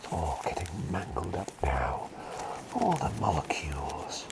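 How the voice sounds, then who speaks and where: A man playing a gentle, forgetful, whimsical plague doctor in a soft ASMR roleplay, speaking in a breathy whisper with polite, hesitant rambling. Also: low voice, wildly swooping pitch, measured pace, very noisy, full character voice. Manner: rambling, polite, hesitant, repeats phrases softly.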